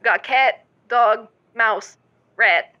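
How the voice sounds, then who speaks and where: A woman's voice: a little high, drawn-out words. A woman playing a careless, blunt mother calling in to a radio advice show in an audio comedy. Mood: breezy, oblivious. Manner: breezy, blunt, oblivious. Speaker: caller. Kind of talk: scripted audio comedy acting. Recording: dull lo-fi call-in sound.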